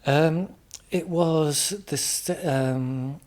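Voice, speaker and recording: Englishman as interview guest: informal, thoughtful, halting delivery with repeated words. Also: on the high side, steady tone, a little mellow. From England, Midlands. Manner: hesitant, reflective, repeats words as he explains.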